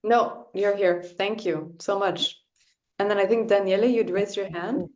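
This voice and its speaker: A woman's voice: medium pitch, steady tone, unhurried, darkish tone. A woman moderating an online discussion, politely thanking speakers and handing over the floor. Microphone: video call. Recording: echoey, muffled video call audio.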